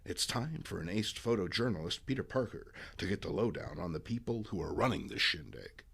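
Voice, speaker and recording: Young photojournalist hero for a comic book reading, played by a man; watchful, understated, bright-voiced. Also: deep voice, expressive, a little fast, gravelly, slightly rough, pitched below the performer's own voice, heavily acted. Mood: wary. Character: wary, deferential young male photojournalist superhero.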